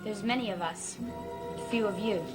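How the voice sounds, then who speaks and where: An actress playing a calm, righteous young woman who guards against evil, speaking in a measured, solemn gothic soap opera style. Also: mid-range voice, music in the background, natural voice. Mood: confrontational. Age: young adult.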